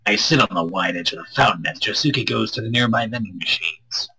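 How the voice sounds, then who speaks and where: A man with a husky, strained voice reading a visual novel aloud expressively, with crude joking asides and stumbles over lines. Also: on the low side, brisk, sharp tone, poor audio. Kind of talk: expressive read-aloud with casual banter.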